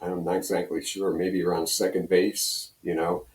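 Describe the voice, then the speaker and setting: A man's voice: low voice, little pitch movement, normal speed, noisy background. An older American man from Pennsylvania reminiscing casually as an interview guest, telling rambling anecdotes. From Pennsylvania, United States. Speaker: guest, retired radio personality. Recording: roomy echo, distant from mic.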